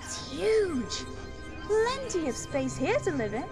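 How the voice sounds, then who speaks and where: Girl doing a bright chatty newcomer in an amateur audio drama, super high voice, music clearly behind. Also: big pitch swings, slow pace, exaggerated voice. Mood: pleased. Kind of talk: amateur voice acting.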